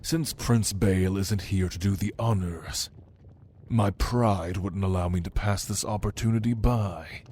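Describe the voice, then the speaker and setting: A man's voice: sits low, mellow, a little hiss or hum. A man playing a proud, commanding demon lord in an ASMR roleplay, speaking in a theatrical, menacing manner to the listener. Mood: imperious. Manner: slow, imperious, theatrical, menacing.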